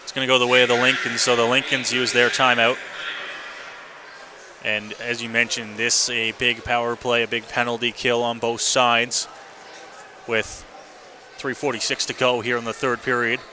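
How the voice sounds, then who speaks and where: A man's voice: mid-range voice, little pitch movement, moderate pace. Man calling hockey over noisy rink. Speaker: hockey play-by-play announcer. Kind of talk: live radio hockey play-by-play commentary. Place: indoor ice rink. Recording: noisy live arena radio broadcast. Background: loud rink ambience and noise.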